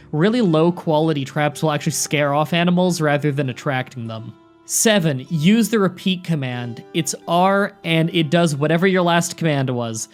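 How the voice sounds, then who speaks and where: A gaming video host narrates a scripted strategy tutorial at a middling pitch. The delivery is clear and instructional, moving point by point, and music plays behind the voice. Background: background music.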